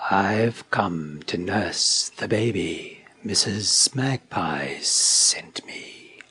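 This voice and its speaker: A man voicing a sly, sinister female snake in a storybook, insinuating and slithery, in a husky, breathy near-whisper. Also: sits low, drawn-out words, bright, forceful tone, voice pushed down, heavily acted.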